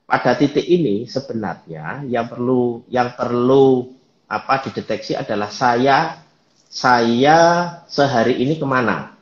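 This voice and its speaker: A man calmly explaining things as a guest in a live online chat, with many fillers. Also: medium pitch, a little slow, reverberant, highs cut off. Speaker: guest speaker.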